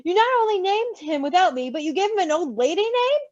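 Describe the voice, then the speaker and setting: A woman's voice: very high-pitched, big pitch swings, fast, darkish tone, no echo, muffled recording, pitched above the performer's own voice. A woman voicing a sulky, possessive young male wolf creature in a story, whiny and dramatic. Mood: wailing.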